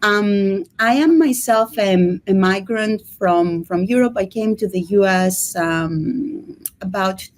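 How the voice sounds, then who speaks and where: A woman's voice: medium pitch, slow pace, darkish tone. Greek-accented woman, hesitant academic. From Greece. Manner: hesitant, many ums and restarts. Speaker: history lecturer and organizer. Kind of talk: casual interview talk.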